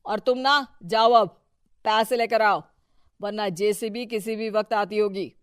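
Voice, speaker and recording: A woman's voice: mid-range voice, steady tone, measured pace, clear, resonant tone, no echo, clean studio sound, lightly acted. A woman voicing a corrupt, bossy male local politician in a cartoon story, with a pompous, commanding manner. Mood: angry, bossy. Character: domineering, corrupt male village-politician husband, smug and bossy. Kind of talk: scripted cartoon story dialogue.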